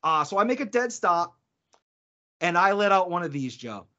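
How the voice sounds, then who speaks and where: A man co-hosting a podcast, telling an animated story in casual chat and laughing between lines. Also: high voice, moderate pace. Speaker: podcast co-host. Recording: dull lo-fi podcast audio.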